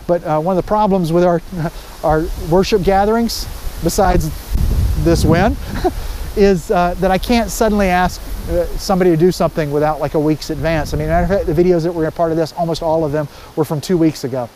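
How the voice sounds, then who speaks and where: A man's voice: fairly high pitch, fairly quick, darkish tone, low-quality recording. A middle-aged man, a pastor, giving an informal, conversational sermon outdoors on a lapel mic. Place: outdoors, under trees by water. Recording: faint outdoor background noise.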